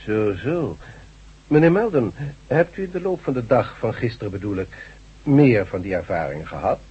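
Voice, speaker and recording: An actor playing a self-assured, authoritative doctor in a radio drama, measured and probing as he questions. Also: on the low side, expressive, mellow, slightly rough. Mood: reassuring, probing. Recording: dull, lo-fi radio play recording.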